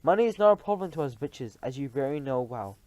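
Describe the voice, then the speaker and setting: A man's voice: medium pitch, animated, fast, darkish tone, no echo, natural voice, low-quality recording. A man reading aloud and voicing a tiny, tyrannical female witch leader who shrieks orders in stilted, odd grammar. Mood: commanding.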